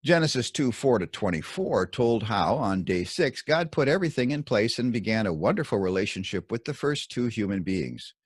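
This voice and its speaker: A man reading his own scripted Bible commentary as narration, in measured, deliberate short phrases. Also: fairly low pitch, sing-song, breathy edge, no room sound, studio quality.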